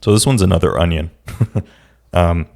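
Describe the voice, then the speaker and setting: A man hosting a casual solo podcast, his voice a bit raspy today, rambling and often restarting his sentences. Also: low-pitched, normal speed, darkish tone. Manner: rambling, restarts often, speaks in scattered bursts. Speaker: podcast host. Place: room at home with a street-facing window.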